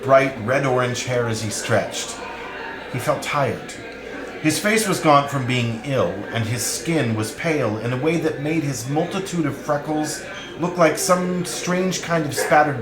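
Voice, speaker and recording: A man narrating a novel in a scripted, literary reading. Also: medium pitch. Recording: roomy live reading, crowd sounds behind.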